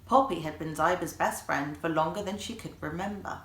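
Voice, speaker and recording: A woman reading a children's story aloud in an animated way, like an author presenting the book. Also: deep voice, brisk. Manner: animated, acts out dialogue. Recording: echoey room.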